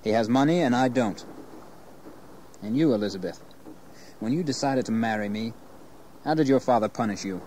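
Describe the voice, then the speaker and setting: A man acts a young gentleman settler in a historical audio drama. He is proud, ambitious and resolute, with a mid-pitched voice. Faint noise sits on the recording. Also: expressive, normal speed, pauses often, natural voice. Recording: noisy 1980s audio drama tape.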